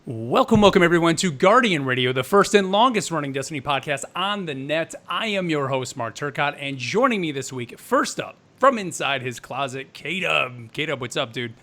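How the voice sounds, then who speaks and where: A man's voice: on the high side, lively intonation, normal speed, hardly any pauses, pushed and bright. Upbeat male podcast host. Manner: upbeat, announcer-like intros. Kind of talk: casual podcast chat.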